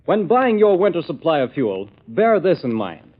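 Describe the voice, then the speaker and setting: A male announcer gives a polished, emphatic sales pitch in old-time radio style. His fairly high voice swings animatedly up and down. The recording is dull and dated. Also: very animated, normal speed. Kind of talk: vintage radio advertising read.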